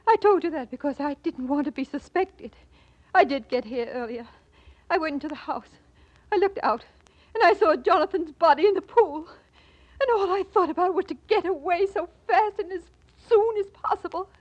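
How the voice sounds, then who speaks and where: High-voiced distressed genteel widow. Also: female voice, moderate pace. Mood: tense, confessing. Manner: dramatic, refined, emotional. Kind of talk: 1940s radio drama acting. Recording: muffled 1940s radio recording.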